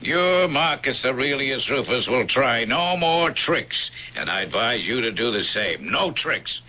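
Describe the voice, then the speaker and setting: An actor in a radio drama playing a gruff, condescending Roman centurion who lives by the rules but has a soft side. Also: male voice, mid-range voice, sing-song, unhurried. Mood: brusque, dismissive. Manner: blunt, condescending, swinging from brusque to sympathetic. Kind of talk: old-time radio drama acting. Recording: dull, noisy radio drama recording.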